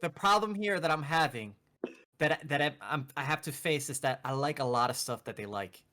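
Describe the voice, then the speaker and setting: A man's voice: fairly high pitch, expressive, normal speed, bright, forceful tone. A man in his thirties chatting casually as a co-host, playfully exasperated and acting out imagined quotes. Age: 30s. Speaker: video game show co-host. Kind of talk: casual chat.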